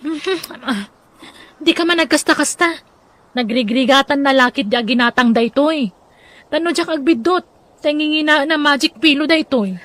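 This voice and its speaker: A woman's voice: high-pitched, moderate pace, a bit bright, dry recording. A woman playing a fussing, scolding elder sister in an Ilocano audio drama, speaking with an Ilocano accent. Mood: apologetic, chiding. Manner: chiding, fussing.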